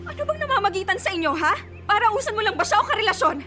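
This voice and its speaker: A woman's voice: extremely high pitch, animated, very fast, music in the background. An actress playing a pregnant young woman who confronts her cheating partner in a radio drama. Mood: furious shouting. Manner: accusing, escalates to shouting and crying.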